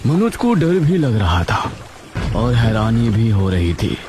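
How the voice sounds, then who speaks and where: Slow, animated male story narrator. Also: medium pitch, noisy background. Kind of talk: dramatic scripted story narration.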